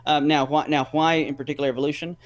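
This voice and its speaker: A man's voice: a little high, flat delivery, quick pace. A man as a guest in a casual debate, rambling and repeating words as he reasons step by step.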